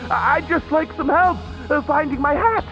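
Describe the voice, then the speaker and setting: A man's voice: very high-pitched, normal speed, fairly bright tone, muffled recording, soft background music. A man voicing a shy, polite young man who hesitantly asks for help finding his hat, in a video game.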